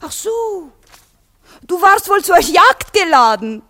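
A woman acting a clinging, jealous, excitable theatre mistress in a German radio comedy, theatrical, coquettish and reproachful. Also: extremely high pitch, takes it slowly, heavily acted. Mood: suspicious, teasing.

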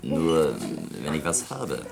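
An actor playing a sardonic, easygoing island local in a German radio play, ironic and mock-courteous. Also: male voice, rumbling low, big pitch swings, slow, vocal fry, crowd noise, exaggerated voice. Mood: cynical, ironic.

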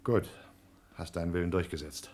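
A man's voice: sits low, fairly even intonation, normal speed, a little mellow. A man playing a distrustful, argumentative man trapped with his rival, in a radio play. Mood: suspicious. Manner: suspicious, argumentative.

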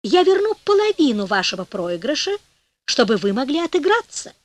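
A woman voicing a sly male devil who speaks in a low, insinuating way and then wails and begs. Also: big pitch swings, moderate pace, dry recording. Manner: insinuating, turning to loud pleading. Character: sly wheedling male devil, later wailing.

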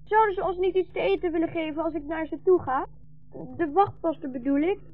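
A woman's voice: very high-pitched, a little fast, dry recording, faint music behind. A woman playing a hungry, trusting young refugee boy, childlike and earnest, in a 1960s Dutch radio drama. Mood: timid, hopeful. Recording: dull, muffled 1960s radio recording.

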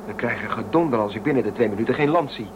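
An actor playing an anxious shuttle pilot in a science fiction radio drama, terse and commanding. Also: male voice, racing, muffled recording, natural voice. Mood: tense. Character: anxious male shuttle pilot, gruff under pressure. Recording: noisy, rumbling craft ambience.

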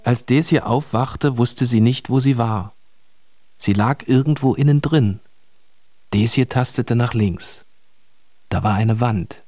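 A man narrating a children's radio story in a measured storytelling manner. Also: mid-range voice, mellow, no echo.

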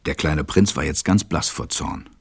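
A man playing a stranded pilot who narrates a radio play in a measured, reflective way. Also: very deep voice, breathy edge, no echo. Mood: tender, reflective.

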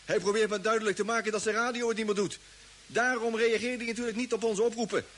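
An actor playing an excitable, chatty young space crewman in a vintage radio play, stammering eagerly. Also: sits high, quick pace. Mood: anxious, puzzled. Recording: noisy vintage radio drama recording.

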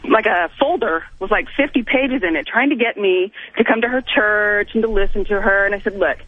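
A man's voice: high voice, fairly quick. A man calling a radio show over a tinny phone line, casually venting with anecdotes. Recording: tinny, narrow telephone line.

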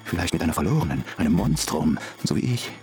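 An actor playing a naive, lovestruck young ape-human student in a radio drama, dreamy, poetic and halting. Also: male voice, sits low, very animated, mellow, soft background music. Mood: bitter. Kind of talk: German radio drama acting.

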